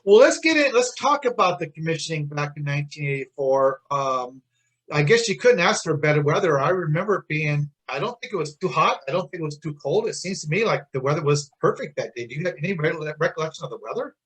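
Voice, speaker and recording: Guy in his 60s chatting as an interviewer, kinda rambly, voice a bit on the high side. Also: animated, quick pace, a bit bright, husky, poor audio. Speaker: interviewer, Navy veteran. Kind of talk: casual conversational interview.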